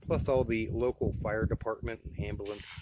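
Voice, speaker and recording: Man, American accent, lowish voice, casual demo style, plain-spoken, folksy. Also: fairly even intonation, normal speed, very noisy. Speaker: radio hobbyist presenting his gear. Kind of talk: casual product demonstration.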